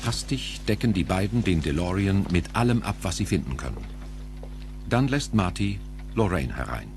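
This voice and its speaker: Deep, animated male narrator. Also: normal speed, background noise. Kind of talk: scripted audio-drama narration.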